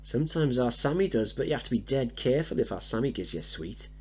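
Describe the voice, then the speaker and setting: Scruffy young lad played by a man, cheeky and slangy, pitched high, swooping delivery, for a play reading. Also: animated, fast talker, darkish tone, husky. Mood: cheeky, boastful. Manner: slangy, blunt, eager. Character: scruffy seven-year-old working-class boy, cheeky, boastful, suspicious.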